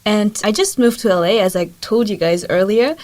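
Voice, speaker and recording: A woman's voice: mid-range voice, normal speed, darkish tone. A young woman from Germany, a guest on a college radio show, talking casually and laughing often.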